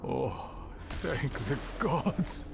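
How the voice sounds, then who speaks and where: An actor playing a weary but urgent survivor in a video game, speaking in a halting, breathy way. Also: male voice, medium pitch, sing-song, noisy background, exaggerated voice. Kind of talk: dramatic video game dialogue.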